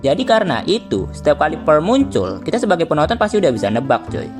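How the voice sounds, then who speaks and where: A man's voice: a little high, very animated, quick pace. A young man with an Indonesian accent giving casual, slangy comedic commentary, joking and swearing freely. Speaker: video commentator. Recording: music behind the voice.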